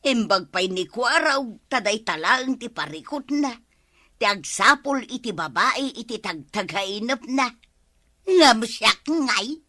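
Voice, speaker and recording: Sharp-voiced, hard-up village woman played by an actress. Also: on the high side, lively intonation, slow, no room sound, studio quality, exaggerated voice. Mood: plaintive. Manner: plaintive. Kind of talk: comedy radio drama acting in Ilocano.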